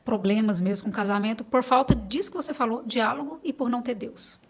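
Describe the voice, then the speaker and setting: A woman's voice: fairly high pitch, a little fast. A middle-aged Brazilian woman talking casually as a podcast guest, telling stories and quoting conversations as she goes.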